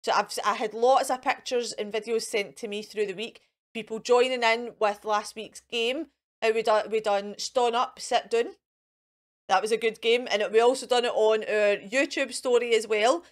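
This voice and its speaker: High-voiced Scottish woman presenting for children. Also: steady tone, moderate pace. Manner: encouraging, asks the children questions and repeats simple phrases. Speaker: children's storytime host. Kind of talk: chatty children's craft and activity presenting to camera.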